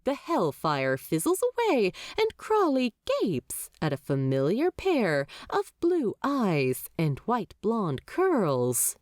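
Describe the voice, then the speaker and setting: Very animated, unhurried woman narrator. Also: medium pitch, no echo, clean studio sound. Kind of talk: expressive scripted story narration.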